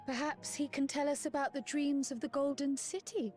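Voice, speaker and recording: An actress playing a composed, inquisitive young scholarly adventurer in a fantasy game, speaking in a measured, articulate way. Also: female voice, sits high, fairly even intonation, darkish tone, soft background music, lightly acted. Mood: curious. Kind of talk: scripted fantasy game dialogue.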